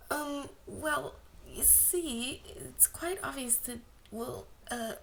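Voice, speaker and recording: A woman's voice: high-pitched, slow, gravelly, a bit bright, slight background noise, pitched above the performer's own voice, exaggerated voice. A woman voicing a timid but brave young fangirl in an amateur story reading, stammering and hesitant. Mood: tentative, awkward. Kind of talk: amateur audiobook character voice.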